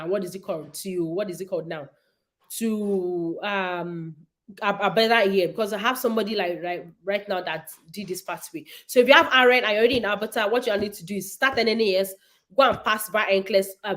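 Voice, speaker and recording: A woman, hosting a casual livestream and answering viewers' nursing questions in an emphatic, repetitive way. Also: medium pitch.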